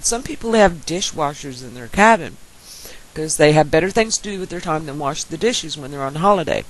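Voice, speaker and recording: A woman's voice: lowish voice, low-quality recording. A woman with a very nasal voice, talking to her viewers in a casual, meandering vlog monologue. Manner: meandering, speculative talk. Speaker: YouTube commentator replying to viewers.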